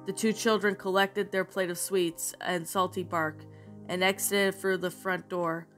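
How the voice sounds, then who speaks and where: A woman's voice: on the low side, level tone, a little slow, fairly bright tone, soft background music. Woman narrator with a bad cold. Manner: rambling, many fillers and restarts. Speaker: creepypasta narrator. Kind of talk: storytelling narration then casual review.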